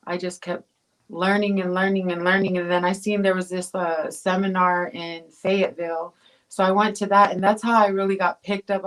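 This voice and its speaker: A woman's voice: medium pitch, low-quality recording. A woman from Tonga, a guest pro boxer, talking casually in an interview, with rambling phrasing full of fillers and restarts.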